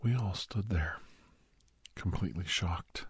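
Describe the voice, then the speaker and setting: Hushed, very husky deep-voiced doctor, acted by a man. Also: no room sound, heavily acted. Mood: grave, haunted. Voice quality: breathy, husky, low. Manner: halting, hushed, dramatic pauses. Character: middle-aged male doctor, earnest, sentimental, reminiscing to a youngster. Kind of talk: ASMR roleplay storytelling, soft-spoken and half-whispered. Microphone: close, dry microphone.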